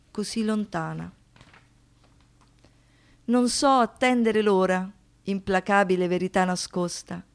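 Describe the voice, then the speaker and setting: A woman's voice: medium pitch, lightly acted. An actress playing a traumatised young woman who survived a brutal assault, in a poetic, declamatory radio monologue, anguished and defiant. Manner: dramatic, swinging between hushed reflection and impassioned outbursts.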